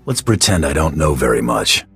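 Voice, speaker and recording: A man playing a curt, menacing bounty hunter in a video game, with a gravelly rasp and dry sarcasm. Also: very deep voice, big pitch swings, moderate pace, fairly bright tone, faint music behind, full character voice. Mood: dry resolve. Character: gruff, callous male bounty hunter.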